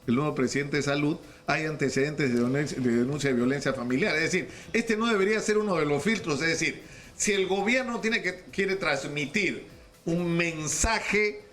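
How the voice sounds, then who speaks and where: A man's voice: on the high side, a bit bright. A man with a Peruvian accent interviewing on radio, stumbling and repeating words as he builds his argument. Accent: Peruvian Spanish. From Peru. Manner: halting, repeats words, argues his point.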